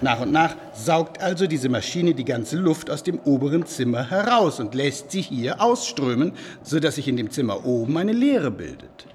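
Proud, animated medieval inventor, higher-voiced man. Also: moderate pace, hardly any pauses, slight background noise. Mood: proudly explaining. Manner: explanatory, emphatic. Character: proud male medieval inventor defending his machines. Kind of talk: German radio play dialogue.

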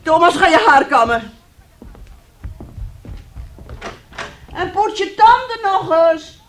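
A woman's voice: very high voice, very animated, normal speed, a little hiss or hum. An actress playing a domineering, scheming, self-pitying middle-aged mother in a radio drama, wheedling and scolding theatrically. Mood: indignant, scolding.

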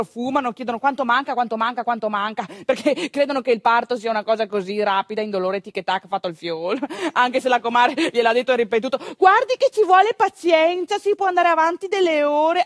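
A woman with a northeastern Italian accent performing a theatrical storytelling monologue in a conversational, wry, expressive manner. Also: mid-range voice, no echo, clean studio sound. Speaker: stage actress, storyteller.

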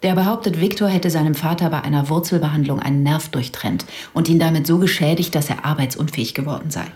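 An actress plays a bitter widow in a radio drama. Her woman's voice sits fairly low, and she speaks haltingly, with breathy sighs between phrases, worn by bereavement. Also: darkish tone, close to an everyday voice. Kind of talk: German radio drama acting.

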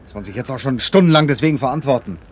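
A man's voice: mid-range voice, lively intonation, rapid-fire, husky. An actor in a German radio drama playing an irritable, evasive man who gives curt, grumbling, dismissive replies to his friend. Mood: irritated.

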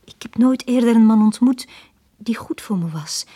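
A very breathy, lonely young woman. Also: on the high side, expressive, moderate pace, dark tone. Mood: longing. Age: young adult. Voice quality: breathy, husky. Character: lonely, pleading young woman, frightened yet proud. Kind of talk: radio drama acting.

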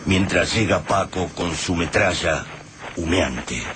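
A man acting as a solemn Argentine narrator of a sci-fi war radio play, phrasing deliberately and with suspense. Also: sits low, background noise. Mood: grim, tense. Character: grave male narrator of a sci-fi invasion war.

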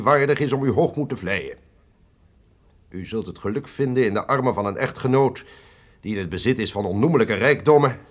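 An actor playing a proud, menacing and passionate duke in a radio drama, speaking in a pompous, formal, commanding way. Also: male voice, lowish voice. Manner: pompous, commanding, formal old-fashioned speech. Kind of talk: theatrical radio drama acting.

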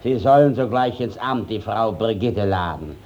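An actor gives us a servile, shifty old village judge who hems and stammers his way along. The voice is lowish and mellow, acted in classical stage manner. The vintage radio recording is dull. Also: expressive, normal speed, natural voice. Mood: obsequious. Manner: hesitant, stammering, obsequious. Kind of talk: classical German stage-style radio acting.

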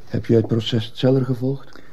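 A man playing a troubled schoolteacher in a radio drama, speaking with restraint and quiet insistence. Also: medium pitch, level tone, moderate pace, a little hiss or hum, close to an everyday voice, rough recording. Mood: probing, insistent. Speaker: actor in a radio play. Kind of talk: Dutch-language radio drama acting.

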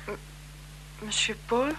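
A woman's voice: slow, fairly bright tone, low-quality recording. An actress playing a formal, guarded French marquise, a bored wife and mother, in a 1970s Dutch radio drama. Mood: shaken, controlled. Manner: formal, hesitant, evasive with her companion.

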